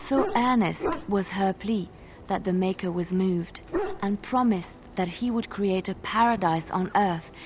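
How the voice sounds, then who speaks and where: An actress playing an earnest, devout young bard who solemnly tells sacred legends in a fantasy video game. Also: female voice, mid-range voice, unhurried, noisy background, close to an everyday voice. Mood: solemn storytelling.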